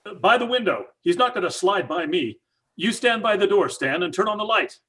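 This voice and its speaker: A man acting as a stubborn middle-aged father in an old-time radio chiller. His voice is fairly high and husky, swinging widely as he blusters and argues that it is all a hoax. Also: animated, brisk, sharp tone, full character voice. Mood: defiant. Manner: blustering, combative. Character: stubborn, skeptical father, angrily sure of a hoax.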